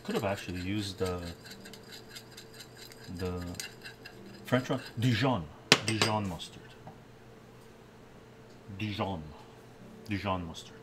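Older man, lowish voice, home kitchen, relaxed cooking chat, dishes clinking behind. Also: takes it slowly, long pauses, noisy background. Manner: relaxed, chatty, reads chat aloud while cooking. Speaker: cooking live streamer. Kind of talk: casual cooking live stream. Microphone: camera at distance. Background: clattering dishes and cutlery.

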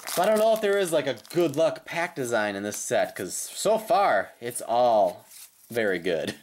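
A woman with a slightly raspy voice doing casual, playful unboxing commentary with sing-song call-outs as a host. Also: very deep voice, big pitch swings, normal speed, darkish tone, a little hiss or hum. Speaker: host of trading card pack openings. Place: indoors at a tabletop.

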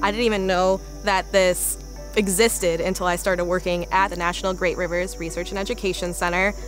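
A woman's voice: lowish voice, level tone, brisk. A woman from northern Illinois with an American accent, explaining as a researcher in a documentary interview. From northern Illinois, United States. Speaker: conservation researcher. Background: music, insects.

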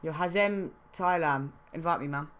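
A man's voice: fairly high pitch, normal speed, fairly bright tone, a little hiss or hum. A young man from Wales streaming a game trading session, chatting casually and reacting to his live chat.

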